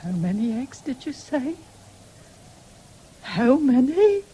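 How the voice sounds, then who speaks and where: An actress playing an ailing, stubborn farm wife with an English accent in a 1970s radio drama, weak and insistent. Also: female voice, sits high, very animated, slow pace, dark tone, slightly rough, heavily acted. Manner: insistent, repeating demands. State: weak and failing. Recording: hissy old radio broadcast recording.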